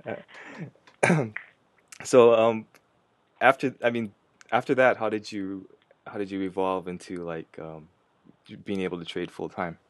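Low-pitched man interviewing, dry sound. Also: fairly even intonation, a little slow, pauses often, no echo. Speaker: interviewer, host. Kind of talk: casual interview.

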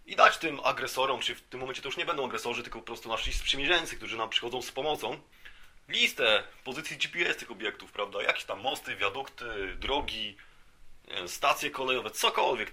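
Guy podcast host doing mock-serious rant, very bright forceful voice, bit raspy, mid pitch. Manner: mock-serious, rambling, with colloquial asides. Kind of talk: satirical monologue, casual.

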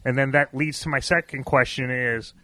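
A man's voice: medium pitch, steady tone, normal speed, pushed and bright. A man hosting a podcast, asking loose, casual questions with some swearing and stumbling repeats. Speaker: podcast host.

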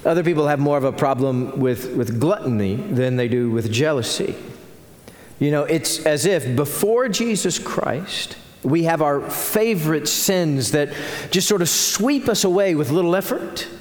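A man's voice: on the high side, expressive, measured pace. A man with a Texan accent, a pastor preaching a sermon with growing earnestness. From United States. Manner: earnest preaching, rising in intensity. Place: church sanctuary. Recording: echoey church hall.

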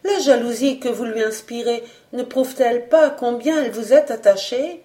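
A sensitive young woman from a romantic novel, as a woman reader plays her. Her speech is high, tender and earnest, with a grave gentleness. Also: normal speed, lightly acted. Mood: insistent.

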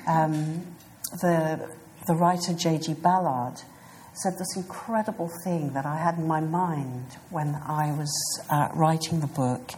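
Low, slow-spoken woman in her fifties. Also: steady tone, a little mellow, a little hiss or hum. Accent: British English. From South Africa. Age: 50s. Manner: hesitant, thoughtful, many pauses and fillers. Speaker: author being interviewed. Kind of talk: reflective interview answers.

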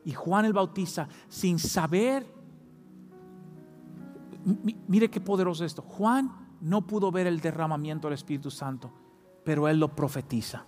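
Fervent high-voiced preacher in a hall. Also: male voice, unhurried, pauses often, a little mellow, soft background music. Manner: fervent preaching, rising to high emphatic peaks. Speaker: pastor. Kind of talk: sermon. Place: church.

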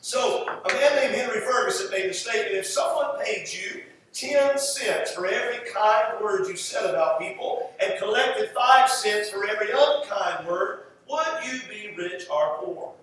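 A man preaching a conversational Bible-teaching sermon, halting at times and repeating phrases for emphasis. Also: high-pitched, animated, fairly bright tone. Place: echoey church room. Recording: roomy echo, distant mic, faint noise.

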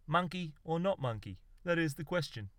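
A man voicing a slow, hesitant Australian poet who is puzzled and laid-back, in a comic story. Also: medium pitch, expressive, fairly bright tone, no echo, studio quality. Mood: tentative. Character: slow, puzzled, beer-swigging Aussie poet mate, hesitant.

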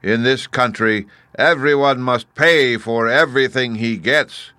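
A man as Oz, booming, slow, commanding wizard. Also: sharp tone, clear, resonant tone, dry recording. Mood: imperious. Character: great stern wizard as giant talking head. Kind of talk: storybook character voice.

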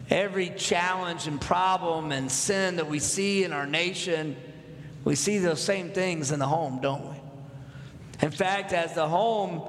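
High-voiced Texan pastor preaching. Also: male voice, slight background noise. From North Texas. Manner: earnest, builds up with repeated phrases, asks the congregation questions. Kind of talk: sermon. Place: church sanctuary. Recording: echoey hall with long reverb.

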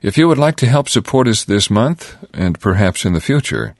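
A man with a deep voice reads a scripted radio station announcement. His delivery is measured and deliberate, with an animated, moving pitch. The recording is close and dry. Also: dry recording.

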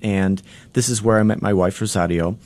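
A man speaks as a radio studio guest, his voice sitting very low. He tells his story earnestly, rambling with many restarts and fillers. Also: steady tone, moderate pace, a little mellow, no echo. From Boston. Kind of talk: interview storytelling. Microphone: studio microphone.

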